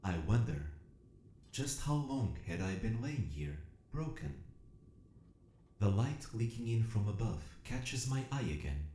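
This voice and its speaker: Low, mellow, methodical robot, voiced by a man. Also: very animated, echoey room, slight background noise. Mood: quietly wondering. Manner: measured, formal, clinical, precise. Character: damaged military robot awakening, logical, methodical, quietly curious. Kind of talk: first-person audio drama narration.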